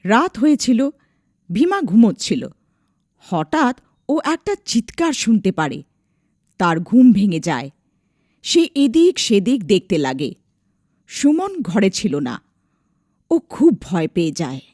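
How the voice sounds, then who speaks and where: A woman's voice: mid-range voice, big pitch swings, takes it slowly, pauses often, darkish tone, no room sound, clean studio sound. A woman narrating a scripted dramatic story as a storyteller.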